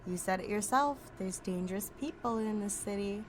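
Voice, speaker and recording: A brash female outlaw voiced by a woman in an audio roleplay, sassy and sarcastic at an average feminine pitch. She is a wisecracking, foul-mouthed thief with plenty of swagger. There is some noise behind the voice. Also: moderate pace, close to an everyday voice. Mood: sulky, teasing. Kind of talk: ASMR roleplay audio drama.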